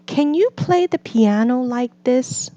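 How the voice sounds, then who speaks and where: An excitable, needy male toad from a children's storybook, acted out by a woman. The voice jumps high and pleads plaintively, with slow, drawn-out words in a slightly dull recording. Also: no room sound. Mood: eager, showing off.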